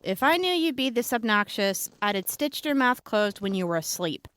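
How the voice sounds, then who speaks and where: A woman playing a sharp-tongued but caring wasteland traveler in an audio drama, speaking in a natural, conversational way. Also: fairly high pitch, sing-song, moderate pace, clean tone. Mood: annoyed. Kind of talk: post-apocalyptic audio drama acting.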